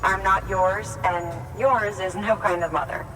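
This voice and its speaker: A woman's voice: mid-range voice, steady tone, unhurried, fairly bright tone, lightly acted. An actress playing a stern, defiant woman in charge of a city, curt and sarcastic, in a dramatic video game scene. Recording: roomy long reverb, distant, faint noise.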